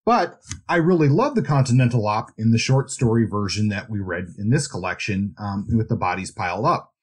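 A man's voice: fairly low pitch, animated, brisk, breathy edge. A man co-hosting a podcast, talking about books in a conversational, loosely hedged way. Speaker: podcast co-host. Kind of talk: casual book discussion.

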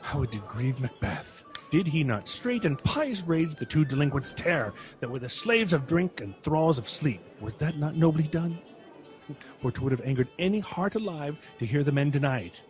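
A man's voice: fairly high pitch, very animated, normal speed, soft background music. A man playing a sardonic Scottish lord in a radio drama, speaking with heavy irony. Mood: ironic.

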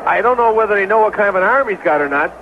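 A man's voice: sits high, expressive, very fast. Bantering male commentator on noisy old radio. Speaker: baseball color commentator. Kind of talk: conversational radio sports analysis. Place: ballpark broadcast booth. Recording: very noisy, phone-like 1970s radio broadcast recording.

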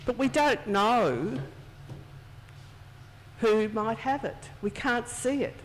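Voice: mid-range voice, slow, slight background noise. A speaker giving a children's talk in church, chatty and conversational as they tell a story. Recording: distant, reverberant church hall sound.

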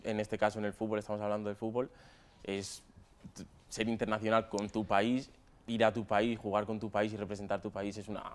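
A man of twenty with a Madrid Spanish accent, answering casually in an interview as a footballer. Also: on the low side. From Spain, Madrid area. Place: stadium dugout with plastic seats.

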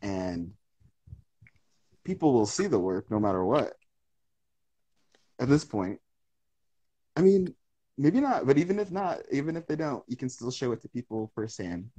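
A man's voice: mid-range voice, very animated, a little slow, long pauses, mellow, breathy edge, dry recording. A young man in casual conversation on a live video call, speaking thoughtfully and rambling with frequent fillers and restarts, recorded close to a phone indoors at home. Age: young adult. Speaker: artist in conversation. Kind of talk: casual live-stream chat. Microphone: phone close to face on a video call.

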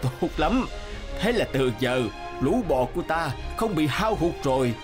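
A man's voice: on the high side, animated, measured pace. A man voicing an authoritative, stubborn old king in a fairy-tale cartoon, speaking imperiously. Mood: pleased. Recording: music under the voice.

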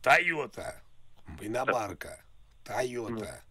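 A man on a prank call, talking in a halting, repetitive way like a naive, confused customer. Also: mid-range voice, lively intonation, pushed and bright, no echo. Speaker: prank caller. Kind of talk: prank phone call, rambling improvised talk.

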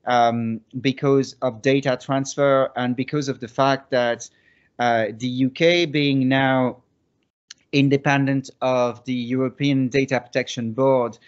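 French man presenting over a video call. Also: mid-range voice. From France. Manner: hesitant, many restarts and fillers. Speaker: conference speaker. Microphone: video call laptop mic. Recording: dull lo-fi video call audio.

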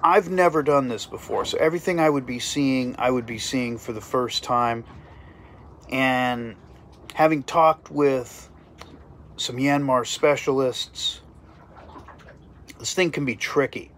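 A man's voice: mid-range voice, slow pace, pauses often, a bit bright, slight background noise. A man in his fifties, chatting casually about boat repairs while filming himself on a phone in a small boat cabin. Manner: explains step by step, chatty. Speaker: sailing vlogger. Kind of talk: casual vlog narration. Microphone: phone held at arm's length.

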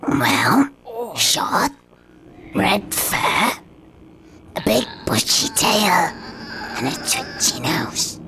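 An actor voicing a slow-witted, gruff guard creature in a cartoon video game, plodding and easily confused. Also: mid-range voice, sing-song, background noise, exaggerated voice. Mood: officious.

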